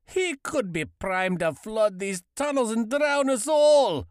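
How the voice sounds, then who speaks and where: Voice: mid-range voice, animated, slow pace, dry recording, clean studio sound. An actor voicing a mocking dwarf miner who delivers theatrical, scornful insults. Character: gruff, mocking dwarf miner bullying a beardless companion.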